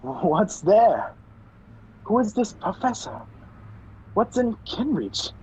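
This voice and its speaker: A man playing a polite, earnest gentleman hero in an old-time radio play. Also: sits high, big pitch swings, slow pace, breathy edge, heavily acted. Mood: alarmed. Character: gentlemanly male hero caught in a spy intrigue. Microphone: video call. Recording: dull, noisy video call audio.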